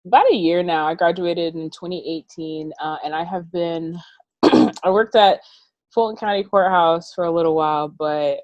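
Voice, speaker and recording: A woman's voice: lowish voice, steady tone, normal speed, darkish tone, no echo, highs cut off. A woman in her twenties from Atlanta, talking casually on a panel with a hesitant manner and many fillers. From Atlanta, Georgia. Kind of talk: casual chat.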